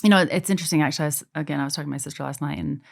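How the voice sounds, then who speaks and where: Low-voiced reflective woman in her forties. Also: rapid-fire, vocal fry, darkish tone. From United States, military family. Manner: earnest, reflective, repeats words while thinking. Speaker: guest, adoptive mother and author. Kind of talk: casual podcast conversation.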